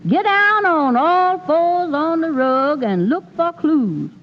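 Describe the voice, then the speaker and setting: Very lively, high-pitched housemaid. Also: female voice, slow, exaggerated voice. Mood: eager, gossipy. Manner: folksy, colloquial. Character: animated, affectionate, gossipy comic housemaid. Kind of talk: old-time radio comedy acting. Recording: muffled old radio recording.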